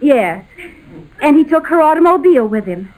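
An actress playing a quick-witted, scheming wife in a 1940s radio comedy, wisecracking and mock-solemn as she improvises lies. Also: high-pitched, very animated, darkish tone, rough recording. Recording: old 1940s radio recording, faint noise.

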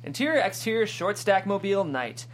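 A woman narrating stage directions at a script table read, sounding amused and lightly animated. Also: medium pitch, fairly quick, slight background noise.

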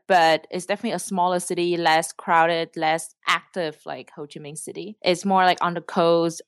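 A woman's voice: on the low side, no echo, studio quality. A young woman from Vietnam with a Vietnamese accent, earnestly explaining her work at length in an interview. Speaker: startup cofounder guest. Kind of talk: interview answers.

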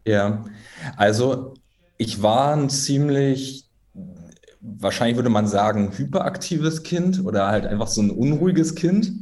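A man's voice: medium pitch, measured pace. A man in his thirties from Berlin chats as a guest about his life as a drummer. He tells stories at an easy pace, hedges a lot and laughs at himself. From Berlin, Germany. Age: 30s. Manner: relaxed storyteller, hedging, self-deprecating laughs. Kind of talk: casual interview chat.

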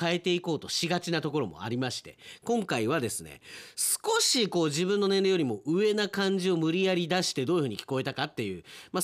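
A man hosting a casual podcast after-talk, chattily reading listener mail and commenting as he goes. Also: mid-range voice. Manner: chatty, commenting on the stories he reads. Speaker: radio announcer hosting an after-show talk.

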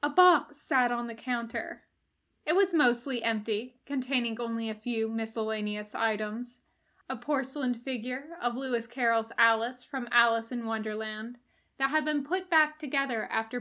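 A woman reading a story aloud as expressive scripted narration. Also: fairly high pitch, unhurried. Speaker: audiobook reader.

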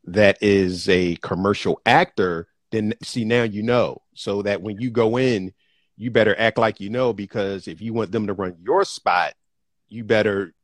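A man hosting an interview show, asking questions in an expressive, enthusiastic way. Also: fairly low pitch, unhurried, no echo. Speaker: podcast host. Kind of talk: interview conversation.

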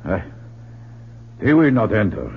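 An actor playing a courteous, cautious Latin American man guiding an expedition, speaking in formal, measured phrases in old-time radio drama style. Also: on the low side, very animated. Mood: grave. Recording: muffled narrow-band old radio recording, hum.

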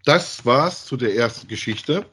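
A middle-aged man co-hosting a podcast in a casual review chat about audio dramas, with a husky voice. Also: medium pitch, lively intonation, a little slow, sharp tone. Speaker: podcast co-host.

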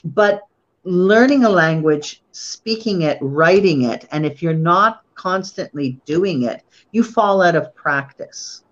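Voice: medium pitch, animated, takes it slowly, muffled recording. A host from the United States whose first language was Polish, talking in a chatty, rambling way full of fillers, on a video call. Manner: chatty, rambling, lots of fillers like "you know". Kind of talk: casual conversation.

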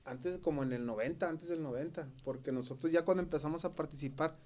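A middle-aged man with a Mexican Spanish accent, a podcast guest telling stories in slangy, halting speech full of fillers. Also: a little high, a little mellow. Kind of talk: casual podcast chat.

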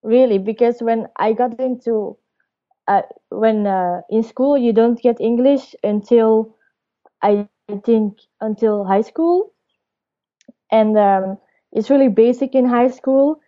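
Slow-talking young woman. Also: a little high. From Belgium. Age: 20s. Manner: hesitant, searching for words, laughs softly. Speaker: storyteller guest. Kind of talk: casual interview answers. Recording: dull lo-fi audio.

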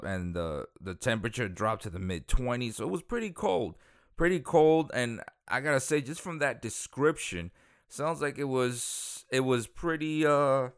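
A man's voice: lowish voice, smooth, no room sound, clean studio sound. A man from the Dominican Republic who grew up in Queens, casually telling a true crime story with lots of fillers, restarts and asides. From Dominican Republic, raised in Queens. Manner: rambling, full of fillers and self-corrections, chuckles aside. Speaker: true crime podcast host.

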